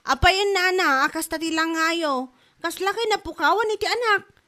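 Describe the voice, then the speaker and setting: A woman's voice: very high voice, normal speed, pushed and bright, faint music behind. A woman playing a hard-up Filipino mother full of excuses, melodramatic, with an Ilocano accent, in a comedy drama. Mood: frantic. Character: hard-up, excuse-making Filipino mother dodging her debts.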